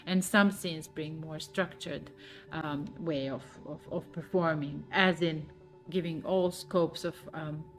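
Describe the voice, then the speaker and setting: A woman, a choreographer, answering interview questions thoughtfully with frequent pauses and fillers. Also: deep voice, steady tone, faint music behind. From Serbia.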